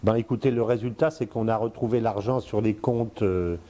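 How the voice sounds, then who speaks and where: A man's voice: lowish voice, fairly even intonation, moderate pace, background noise. An older man in his sixties giving political commentary in casual speech, hesitant, with many fillers and repeated words, addressing the listener directly. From born in Congo, lived in Africa, Spain, Brazil. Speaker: strategic affairs commentator, guest.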